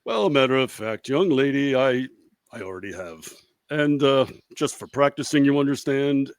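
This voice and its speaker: Man playing a lively, swooping roguish showman professor. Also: medium pitch, normal speed, no room sound, close to an everyday voice. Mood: sly, theatrical. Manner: hesitant patter with wry asides. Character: roguish, bumbling fortune-telling travelling showman professor. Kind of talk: comedic radio play acting.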